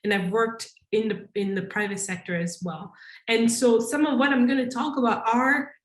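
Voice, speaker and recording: A woman's voice: a little high, steady tone, normal speed, reverberant. A woman from Congo giving a conference talk, thoughtful and earnest, often restarting her sentences with fillers. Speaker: conference panelist.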